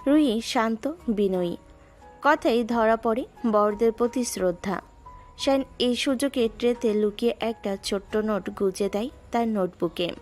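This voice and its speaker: A woman narrating a romantic audio story in a measured, lyrical way. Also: on the high side, soft background music.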